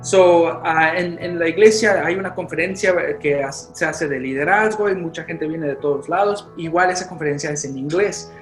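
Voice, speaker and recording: A man's voice: fairly high pitch, normal speed, hardly any pauses, reverberant, soft background music. A man, a youth pastor from Chicago whose first language is Spanish, talking in a casual interview with many restarts and English fillers. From Chicago, United States. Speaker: youth pastor and podcast guest.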